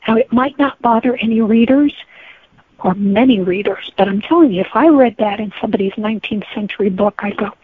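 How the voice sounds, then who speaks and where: A woman's voice: mid-range voice, a little fast, husky, no room sound. A woman being interviewed as a guest author, speaking conversationally in an earnest, opinionated way. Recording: thin, tinny phone-like line.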